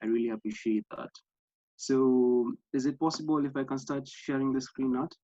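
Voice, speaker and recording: A man's voice: medium pitch, steady tone, moderate pace, warm tone, dry recording. A young man from Kenya with a Kenyan accent, earnestly presenting an online workshop over a video call, with many fillers and restarts. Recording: slightly dull lo-fi sound.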